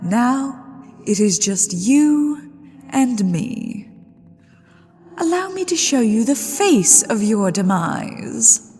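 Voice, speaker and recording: A woman's voice: on the high side, very animated, darkish tone, heavily acted. A woman playing a haughty, playfully menacing ghost lady of a haunted manor in a theatrical, teasing ASMR roleplay. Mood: haughty menace. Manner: theatrical, teasing, imperious, with drawn-out phrasing. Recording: long hall reverb with music behind.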